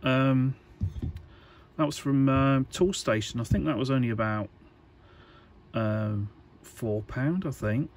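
A man giving an informal hands-on tutorial, talking in a halting, hesitant way as he thinks aloud. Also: mid-range voice, a little mellow. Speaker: electronics hobbyist presenter.

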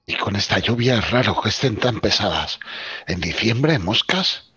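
Male player, husky voice, casual in-character role-play, dry and slightly muffled recording. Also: lowish voice, animated, a little slow, bright, forceful tone, no room sound. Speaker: tabletop role-playing player.

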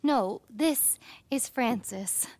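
Slow, high-voiced young widow. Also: female voice, lively intonation, darkish tone, dry recording, heavily acted. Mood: wary, sad. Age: young adult. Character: soft-spoken, guarded young widowed mother. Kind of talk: video game character acting.

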